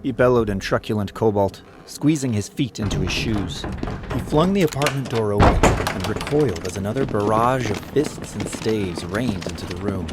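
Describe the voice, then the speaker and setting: A man's voice: fairly low pitch, normal speed, noisy background. A man narrating a dramatized audiobook in a scripted, dramatic storytelling style.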